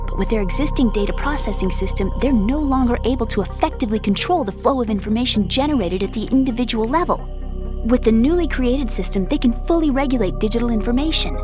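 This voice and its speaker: A woman's voice: fairly high pitch, lightly acted. Game role: young female computer scientist, played by a woman, earnest, precise, slightly superior, challenges with pointed questions; score playing behind. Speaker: video game character. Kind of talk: scripted game cutscene dialogue.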